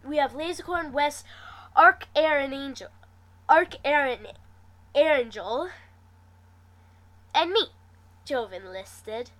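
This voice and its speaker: A woman reading fan fiction aloud in an animated, theatrical way, stumbling over words and restarting now and then. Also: sits high, takes it slowly, long pauses. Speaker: amateur fan fiction reader. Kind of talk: animated story reading with acted dialogue.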